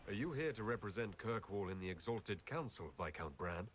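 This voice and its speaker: A man's voice: fairly low pitch, animated, moderate pace, slight background noise, lightly acted. A man playing a composed, courteous fantasy leader in a video game, speaking politely and directly. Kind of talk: video game cutscene acting.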